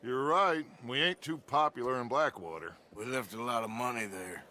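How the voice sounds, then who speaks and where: An actor playing a proud outlaw gang leader in a Western video game, declamatory and authoritative. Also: male voice, mid-range voice, moderate pace, sharp tone, slight background noise, lightly acted. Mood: grave, commanding. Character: commanding, proud male outlaw gang leader of the Old West. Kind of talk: video game cutscene acting.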